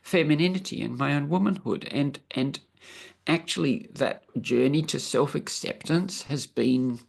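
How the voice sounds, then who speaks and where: A woman in her sixties with a croaky voice from travel, talking earnestly as a guest on a video call and restarting her sentences often. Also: low voice, measured pace, a little mellow. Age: 60s. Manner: earnest, thinking aloud with many restarts. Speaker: interview guest. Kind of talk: reflective personal conversation.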